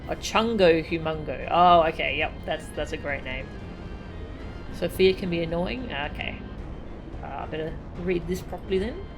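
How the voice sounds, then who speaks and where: A woman's voice: sits low, normal speed. A woman streaming a video game, chatting casually, reading game text aloud and laughing often. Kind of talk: casual gameplay commentary. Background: game music.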